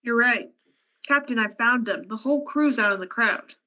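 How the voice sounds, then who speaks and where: A woman acting a reserved, bright-voiced scientist. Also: a little high, normal speed, dull audio. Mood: polite. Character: reserved, detached female scientist, war survivor. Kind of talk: radio play acting.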